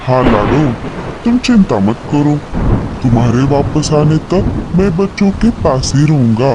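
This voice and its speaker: A man's voice: on the high side, big pitch swings, unhurried, background noise. A man voicing a caring, cautious male crow in a children's cartoon, protective like a big brother. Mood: reassuring. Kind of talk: Hindi children's cartoon dialogue.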